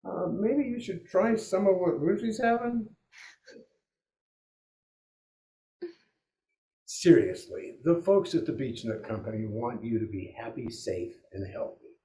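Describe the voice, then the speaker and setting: An actor playing an exasperated 1940s radio announcer who hosts the show in a theatrical way. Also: male voice, a little high, lively intonation, moderate pace, hardly any pauses, dark tone. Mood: promotional, earnest. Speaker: host. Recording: echoey room with music behind.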